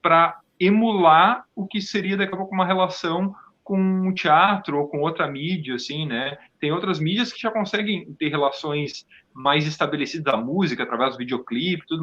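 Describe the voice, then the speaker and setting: A man with a fairly high, bright, pressed voice speaks as an interview guest. He is thoughtful and halting, feeling for words as he goes. The recording sounds a bit muffled and lo-fi. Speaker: theatre performer, interview guest. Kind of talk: conversational interview answer.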